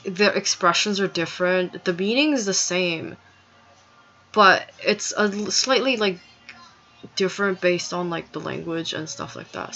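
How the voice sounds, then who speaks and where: A woman's voice: lowish voice, gravelly, a bit bright. Rambling woman on a dull lo-fi recording. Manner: rambling, digressive, frequent fillers and restarts. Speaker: vlogger. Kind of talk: casual explanatory monologue.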